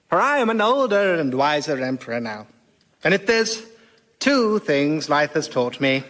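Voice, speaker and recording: A man's voice: fairly high pitch, a bit bright. A man playing a vain, petulant Emperor in radio sketch comedy, pompous and indignant. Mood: pompous, resigned. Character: vain, exasperated male Emperor, petulant and gullible.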